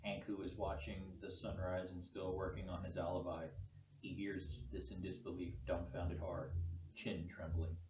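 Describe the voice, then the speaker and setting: A man's voice: low voice, flat delivery, moderate pace. A man reading out screenplay stage directions as the narrator at a table read. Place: echoey room at a group table read. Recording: distant mic, echoey noisy room.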